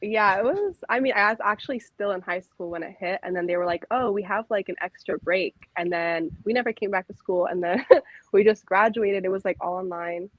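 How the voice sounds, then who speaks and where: A woman in her twenties talking as a guest in a relaxed, laid-back way, laughing easily. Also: mid-range voice, brisk, no room sound. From USA. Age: 20s. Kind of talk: casual chat. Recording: dull lo-fi dry sound.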